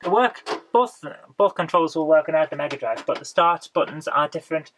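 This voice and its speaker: A woman, hoarse from a coming cold, hosting a casual retro game haul video. She rambles chattily and often ends with tag questions. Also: low voice, lively intonation, fairly quick, a little hiss or hum. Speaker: retro gaming channel host.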